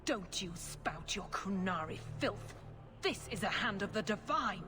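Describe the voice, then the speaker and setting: A woman's voice: mid-range voice, animated, a little slow, close to an everyday voice. A woman voicing a zealous middle-aged priestess who speaks with fervent, accusing conviction. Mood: defiant. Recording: game audio with music behind.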